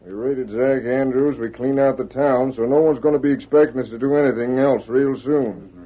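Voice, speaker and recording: A man plays a sly outlaw leader, sure of himself, drawling in an American Western accent. The voice is medium-pitched, acted in old-time radio style on a muffled recording. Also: steady tone, normal speed, husky, natural voice. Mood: confident, gloating. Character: sly, confident western outlaw gang boss.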